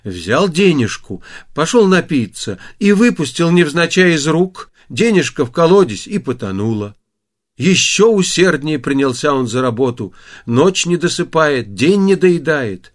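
A man narrating a fairy tale for an audiobook in an expressive, theatrical, folksy storytelling manner. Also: a little high, measured pace.

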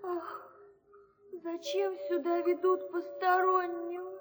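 A woman voicing a spoiled young princess in an audio fairy tale, shrill and demanding. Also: monotone, takes it slowly, echoey room, in a large hall, faint music behind.

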